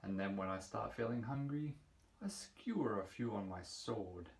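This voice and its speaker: A man's voice: on the low side, lively intonation, normal speed, vocal fry, slight background noise. A man voicing a hungry, deadly swordfish from a picture book with matter-of-fact boasting. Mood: predatory, casual. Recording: roomy echo, distant microphone.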